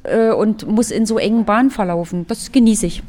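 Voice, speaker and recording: A woman's voice: medium pitch, fairly quick, a little mellow, a little hiss or hum. A woman gives a reflective, articulate interview statement.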